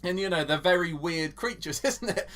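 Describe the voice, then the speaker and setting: A man's voice: high-pitched, animated, normal speed, a bit bright. An enthusiastic man with an English accent, chatting about church history as a co-host, with lots of restarts. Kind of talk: casual Bible teaching chat.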